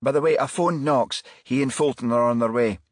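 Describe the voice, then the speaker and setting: A man voicing a brisk, alert female police detective in an audiobook, with clipped, urgent delivery. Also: medium pitch, no echo, studio quality, pitched above the performer's own voice, natural voice. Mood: brisk, watchful. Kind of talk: audiobook character dialogue.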